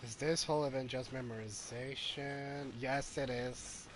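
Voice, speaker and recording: A man's voice: mid-range voice, steady tone, measured pace, slight background noise. A man giving casual live gameplay commentary, hesitant and thinking aloud as he goes. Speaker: game streamer. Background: game music.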